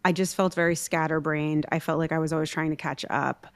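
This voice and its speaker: A woman in her thirties, a podcast guest, telling her own story in a reflective, halting way with small laughs. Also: deep voice, fairly even intonation, no echo. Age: 30s. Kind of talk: candid personal storytelling.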